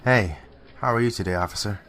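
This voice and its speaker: A man's voice: on the low side, brisk, fairly bright tone, a little hiss or hum, lightly acted. A man acting a weary, pessimistic police detective in a radio drama, plain-spoken and resigned.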